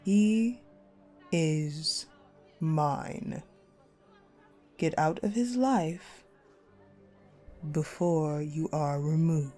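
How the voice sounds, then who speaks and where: A woman playing a cold, possessive ice-queen general in a dark romance audio roleplay, commanding and menacing. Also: low-pitched, faint music behind. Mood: possessive, threatening. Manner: commanding, menacing, slow and deliberate, dropping to hushed.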